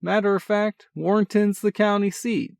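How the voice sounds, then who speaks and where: A man reading a story, voicing a boisterous, excitable older brother who talks loud and folksy. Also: high voice, moderate pace, gravelly, no echo, studio quality, voice pushed up. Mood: excited. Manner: loud, folksy, keeps calling his brother kid. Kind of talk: audiobook character voice.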